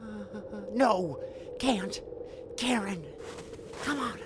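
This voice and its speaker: An actor playing a desperate, guilt-ridden middle-aged father and professor in an audio drama, halting and pleading. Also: high voice, background noise. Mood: desperate, struggling.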